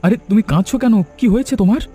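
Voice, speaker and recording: A male actor as a heartbroken young man in a cartoon melodrama, speaking fast in a soft-edged, mellow tone. He pleads with raw emotion and stubborn resolve. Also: sits high, faint music behind, natural voice. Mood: concerned. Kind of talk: Bengali cartoon story acting.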